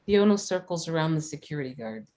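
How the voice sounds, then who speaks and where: A woman's voice: low-pitched, lively intonation, quick pace, dark tone. A woman narrating scripted stage directions for a screenplay at a table read.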